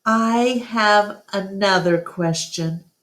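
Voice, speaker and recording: A woman's voice: mid-range voice, drawn-out words, fairly bright tone, voice pushed up. A woman voicing a curious, sleepy baby elephant girl who pleads with questions in a children's storybook. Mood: worried, curious. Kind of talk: children's storybook read-aloud.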